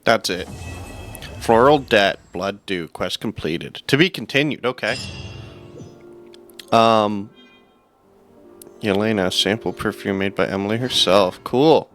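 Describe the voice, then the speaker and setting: A man's voice: lowish voice, slow pace, long pauses, fairly bright tone. A man doing casual live gameplay commentary as a streamer, offhand and dry, at times reading game text aloud. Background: game music.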